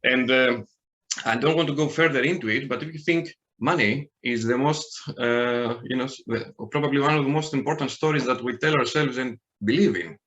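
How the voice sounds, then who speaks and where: A man's voice: mid-range voice, fairly quick, fairly bright tone, highs cut off. Greek man, hesitant with fillers. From Greece. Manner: hesitant, many fillers and restarts. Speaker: podcast guest. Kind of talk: casual podcast chat.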